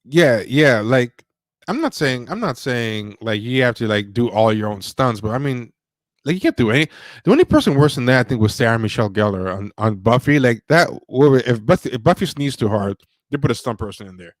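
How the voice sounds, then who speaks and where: A man's voice: medium pitch, lively intonation, fairly quick, no room sound. A man hosting a casual livestream, reviewing movies in a rambling, opinionated way with lots of fillers and restarts. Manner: reads viewer donations aloud. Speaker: podcast host.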